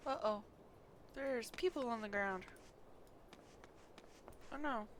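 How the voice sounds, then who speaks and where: A woman's voice: on the high side, slow pace. A woman livestreamer giving casual, informal gameplay commentary, muttering to herself as she plays. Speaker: game livestreamer. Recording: loud background noise.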